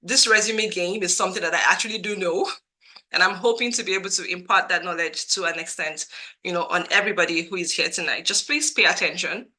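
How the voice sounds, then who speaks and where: A woman's voice: lowish voice, quick pace, sharp tone. A woman from Nigeria hosting a live career webinar over a video call, earnest and repetitive for emphasis, her voice a little cracked from a cold. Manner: earnest, repeats herself for emphasis. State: has a cold, voice a bit cracked. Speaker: webinar host and career coach. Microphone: computer mic on a video call.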